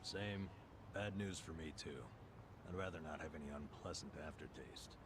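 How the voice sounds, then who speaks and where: A man's voice: very deep voice, moderate pace, background noise. An actor playing a weary, laid-back middle-aged man in a video game, with a dry, blunt way of talking. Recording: game music behind.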